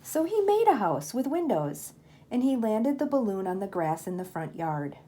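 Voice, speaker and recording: Older woman with a mellow voice, reading aloud. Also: medium pitch, sing-song, normal speed, a little hiss or hum. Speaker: grandmother reading a children's book. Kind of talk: storybook read-aloud.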